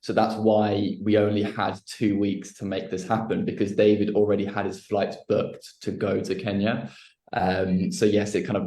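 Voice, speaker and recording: A filmmaker explaining his project in a relaxed way, answering questions on a panel. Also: on the low side. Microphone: video call. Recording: roomy echo on a video call.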